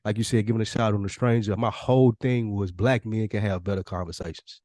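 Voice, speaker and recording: A man's voice: on the low side, fairly even intonation, fairly quick, a little mellow, no room sound. A man co-hosting a podcast in casual, earnest talk, using slang and profanity and often voicing imagined quotes. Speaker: podcast co-host.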